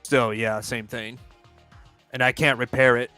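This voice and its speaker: A man's voice: medium pitch, little pitch movement, measured pace, bright, forceful tone, faint music behind. A man in his twenties chatting casually during a gaming livestream, rambling, restarting sentences and swearing freely. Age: 20s. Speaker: co-player on livestream.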